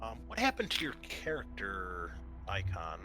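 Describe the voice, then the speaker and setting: A man's voice: deep voice, wildly swooping pitch, measured pace, music in the background. A man running a tabletop game as game master, narrating in a casual, conversational way.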